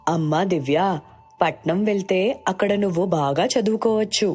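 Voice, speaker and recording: A woman's voice: medium pitch, normal speed, a little mellow, breathy edge, soft background music, close to an everyday voice. A woman playing a poor, humble village mother in a story cartoon, with a plaintive and earnest manner. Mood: gentle urging. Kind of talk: dramatized moral story dialogue.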